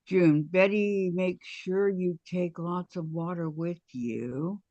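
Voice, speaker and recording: A man co-hosting a quilting show, casually reading viewer comments aloud and answering in short, relaxed remarks. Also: high-pitched, takes it slowly, no echo, muffled recording.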